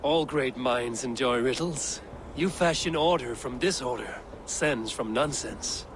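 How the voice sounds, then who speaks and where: An actor playing a cunning, boastful warrior lord in a video game, flattering and formal in his speech. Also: mid-range voice, a little slow, noisy background, close to an everyday voice. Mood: coaxing, charming. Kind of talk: theatrical video game acting.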